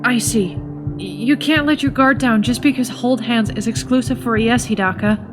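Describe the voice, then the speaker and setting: High-voiced, fretful boy idol voiced by a woman. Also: normal speed, close to an everyday voice. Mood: earnest, cautioning. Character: fretful, earnest teenage boy idol, polite and cautious. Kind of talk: anime fan dub acting. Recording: music under the voice.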